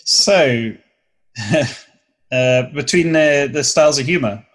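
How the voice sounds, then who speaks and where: A man's voice: mid-range voice, slow pace. A young man with a southern English accent, a comedy video creator chatting casually in an interview and explaining things at length with restarts. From southern England.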